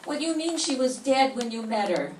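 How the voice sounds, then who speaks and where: A woman's voice: fairly high pitch, lively intonation, brisk, close to an everyday voice. An older woman voicing a chirpy, teasing female secretary to a detective in an amateur 1940s-style radio play. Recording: echoey room from far off, faint hiss.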